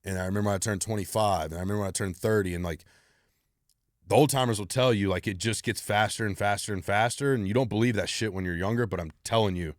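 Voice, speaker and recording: Deep-voiced blunt man in his thirties. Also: no echo, clean studio sound. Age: 30s. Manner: blunt, driven, swears freely. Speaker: podcast host. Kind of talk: solo Q&A podcast.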